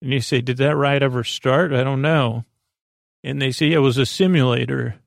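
Creaky-voiced man, rambling bedtime podcast host. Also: mid-range voice, fairly even intonation, no echo, studio quality. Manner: meandering, halting, self-deprecating, gently absurd tangents. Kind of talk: rambling, soothing bedtime monologue.

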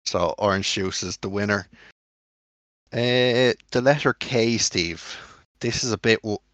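A young Irish man with a low voice keeps a casual podcast moving along. He is relaxed and conversational. The sound is dry and close to the mic. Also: a little slow, no room sound. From Ireland. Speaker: podcast co-host leading the segments. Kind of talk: casual chat.

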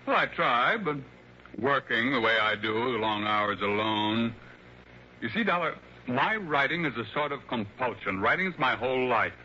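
Older genial blind writer, very bright sharp voice, courteous halting talk, old radio drama, muffled noisy recording. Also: male voice, mid-range voice, expressive. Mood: earnest, compulsive. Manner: courteous, talkative, halting with hesitations. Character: blind, genial older male mystery writer, wealthy and obsessive. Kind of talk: vintage radio drama acting.

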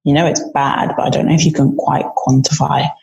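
Creaky, even-toned woman thinking aloud. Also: deep voice, brisk, highs cut off. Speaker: panel participant. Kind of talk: reflective casual discussion.

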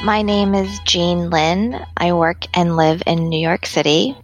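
A woman's voice: lowish voice, fairly even intonation, measured pace, soft background music. A middle-aged American woman from Massachusetts talking casually about herself as an interview guest. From Massachusetts, United States. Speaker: interview guest, design gallery owner.

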